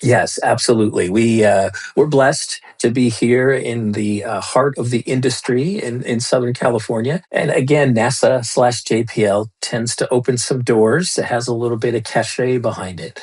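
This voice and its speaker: Husky man explaining at an easy pace. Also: medium pitch, moderate pace, few pauses, a little mellow. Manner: loose, with many fillers and restarts. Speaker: guest, storytelling lead at a space lab. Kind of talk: conversational interview answers.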